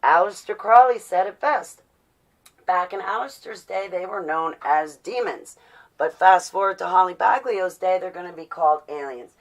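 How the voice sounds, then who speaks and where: Opinionated woman ranting, slightly low-pitched. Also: lively intonation, normal speed. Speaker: vlogger. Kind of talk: casual rambling monologue.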